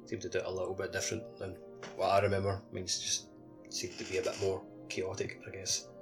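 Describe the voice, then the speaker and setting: Low-voiced rambling man chatting casually. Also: completely flat delivery, quick pace, over music. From Scotland. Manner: rambling, loosely phrased, tentative. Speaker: podcast co-host. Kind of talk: casual video game chat. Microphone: voice call over the internet.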